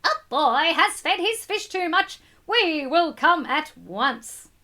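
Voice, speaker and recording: A woman's voice: extremely high pitch, animated, slow pace, pitched above the performer's own voice, heavily acted. A woman voicing an urgent, commanding storybook fireman who calls out with emphasis. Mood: brisk. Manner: yelling, emphatic.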